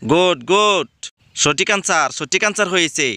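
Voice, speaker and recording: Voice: medium pitch, normal speed, sharp tone, no echo, lightly acted. A voice actor playing an exasperated male schoolteacher in a cartoon, scolding his pupils and exclaiming at their answers. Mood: stern. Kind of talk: cartoon voice acting.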